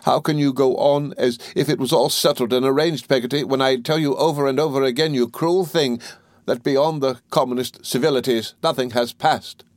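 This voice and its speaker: A man's voice: expressive, moderate pace, no room sound, clean studio sound, lightly acted. A man voicing a distraught young mother, pleading in a raised, tearful voice. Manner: tearful high-pitched pleading.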